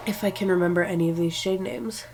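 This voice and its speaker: A woman in her twenties talks her way through a makeup routine, her voice stuffed up from a lingering cold. She chats casually and pokes fun at herself as she goes. Music plays clearly underneath her voice. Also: lowish voice, fairly even intonation, quick pace, darkish tone. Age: 20s. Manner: chatty, self-deprecating, thinking aloud. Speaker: beauty vlogger. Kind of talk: casual makeup tutorial talk.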